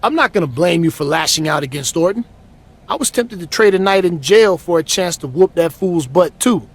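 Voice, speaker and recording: A wrestling hero's loyal buddy in a game. His voice is very high for a man, and he talks in a feisty, slangy, familiar way. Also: animated, moderate pace. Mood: indignant, friendly. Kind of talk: video game cutscene acting.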